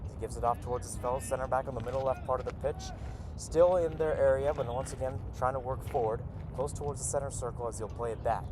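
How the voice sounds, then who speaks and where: Clear outdoor noise surrounds a man giving live radio play-by-play of a soccer match. His voice sits at a medium pitch. He has a conversational manner as he commentates from beside the pitch. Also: fairly even intonation, fast. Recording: noisy outdoor field with traffic-like ambience. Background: clear outdoor ambient noise.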